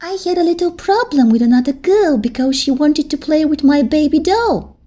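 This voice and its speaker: A woman's voice: sits high, lively intonation, moderate pace, mellow, voice pushed up. Woman doing a small stubborn little girl. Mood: telling. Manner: pleading, childlike. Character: small shy stubborn girl, kindergarten age.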